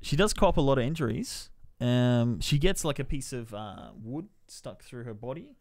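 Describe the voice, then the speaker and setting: A man's voice: mid-range voice, expressive, normal speed, darkish tone, no room sound. A man hosting a podcast, giving a casual, sweary, colloquial review of a film. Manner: sweary, colloquial, loosely descriptive.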